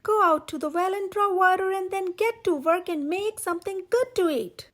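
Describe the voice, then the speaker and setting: A wicked old witch from a children's fairy tale, acted out by a woman storyteller. The voice is raised high and pushed loud. She is sly, bossy and menacing, ordering and coaxing as she schemes. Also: normal speed. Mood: harsh, commanding. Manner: commanding, scolding, falsely sweet when coaxing.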